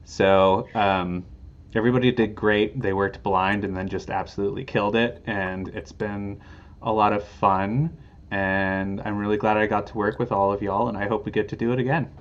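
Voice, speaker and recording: Lower-voiced man on a dull, lo-fi stream. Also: steady tone, normal speed. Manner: appreciative, informal. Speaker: audio producer for a theater. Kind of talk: casual unscripted thanks. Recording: dull lo-fi stream, faint noise.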